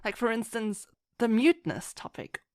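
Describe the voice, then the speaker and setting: A woman's voice: on the high side, big pitch swings, normal speed, darkish tone, dry recording. Chatty, enthusiastic woman with dry sound. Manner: enthusiastic, rambling, acts out her own thoughts. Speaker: podcast guest, fan fiction writer. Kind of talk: casual interview chat.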